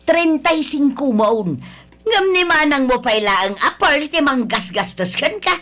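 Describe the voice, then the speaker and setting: Woman acting as older mother, high voice, scolding, complaining, disapproving, radio drama. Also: expressive, a little slow, a bit bright. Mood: scolding, exasperated. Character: nagging, disapproving older mother of grown son.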